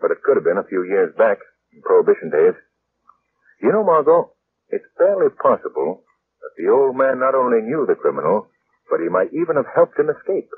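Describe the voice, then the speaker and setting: An actor playing a composed, astute gentleman sleuth, measured and decisive, in 1940s radio style. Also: medium pitch, very animated, natural voice. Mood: pondering, confident. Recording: tinny, faintly noisy vintage radio recording.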